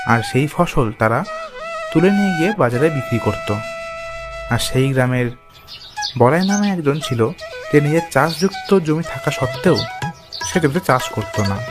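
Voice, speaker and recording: A man reads a storybook tale as its narrator. His medium-pitched voice moves widely up and down in scripted narration, with faint music beneath.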